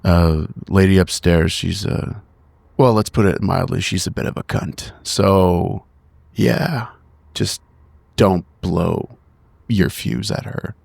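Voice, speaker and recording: A man's voice: deep voice, fairly even intonation, takes it slowly, vocal fry, darkish tone, husky, no echo. A man casually roleplaying as a player in a tabletop game, with a hesitant, understated, blunt manner.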